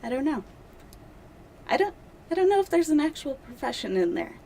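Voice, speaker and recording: A young woman, a doctoral candidate, talking casually in an interview, chatty and self-deprecating with many fillers, seated in a dark studio. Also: high-pitched, very animated, fairly quick, gravelly, slight background noise. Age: young adult.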